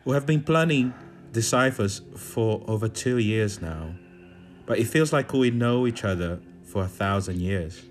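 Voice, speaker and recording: A man from Brazil, a dance artist, narrating a calm guided walk with soft music behind. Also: fairly low pitch, a little slow.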